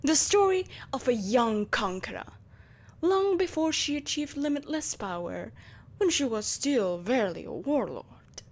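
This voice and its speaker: A woman's voice: high voice, big pitch swings, measured pace, exaggerated voice. A woman playing a malevolent, possessive empress in a dramatic audio roleplay, imperious and theatrical, with mocking sweetness. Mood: storytelling, measured.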